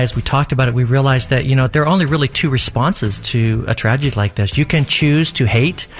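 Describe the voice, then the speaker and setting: A sincere man speaking calmly at a mid pitch. He tells stories as a radio guest, and soft music plays faintly behind him. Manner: reflective storyteller, calm and sincere. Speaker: worship pastor and guest. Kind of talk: radio interview chat.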